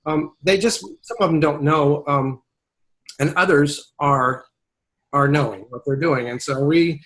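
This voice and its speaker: A man's voice: fairly high pitch, unhurried, fairly bright tone, rough recording. A man working as a voiceover agent, talking in a casual webinar conversation, thoughtful and rambling, often restarting his sentences.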